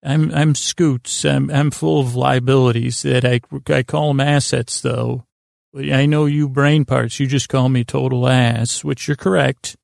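A man with a creaky voice, hosting a podcast as a rambling, halting bedtime monologue that trails off and restarts. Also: mid-range voice, steady tone, a little mellow, dry recording, studio quality. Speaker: podcast host.

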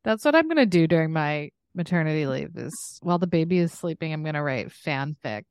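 Female podcast co-host, lowish smooth voice, close dry sound, candid, giggly and loose comedic banter. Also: animated, a little fast, darkish tone, dry recording. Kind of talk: casual comedic chat.

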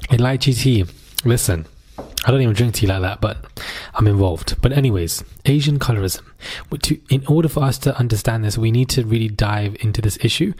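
A man's voice: medium pitch, brisk, a little mellow, breathy edge. Male podcast host, mid-range voice, informal and slangy, talking solo with emphasis. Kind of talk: conversational podcast monologue on social issues.

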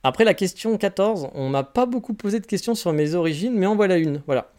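Forties, male, from France; solo podcast host, quick and chatty, frank rambling advice. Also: high-pitched, lively intonation, a little mellow. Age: 40s. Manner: candid, rambling advice with frequent restarts. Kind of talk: casual solo podcast answering listener questions.

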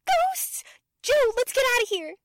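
A woman's voice: very high-pitched, big pitch swings, no room sound, clean studio sound, heavily acted. A woman playing a timid, frightened teenage girl in the style of 1940s radio drama, speaking in a hesitant way. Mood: terrified. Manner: hesitant, halting, fearful. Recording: noisy recording with rain.

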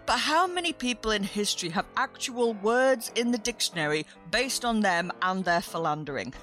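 This is a woman presenting a chatty history podcast. Her voice sits at a medium pitch, and her manner is cheeky, exclamatory and self-mocking. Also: expressive, normal speed, fairly bright tone, soft background music. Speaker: podcast host.

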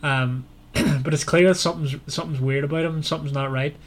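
A man's voice: on the high side, fairly even intonation, fast. A man hosting a podcast, discussing a book in an informal, loosely phrased conversational way. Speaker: podcast host.

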